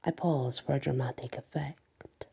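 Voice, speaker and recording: Very mellow, husky woman reading softly. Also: a bass voice, steady tone, measured pace. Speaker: story reader. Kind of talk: soft ASMR audiobook narration, first person.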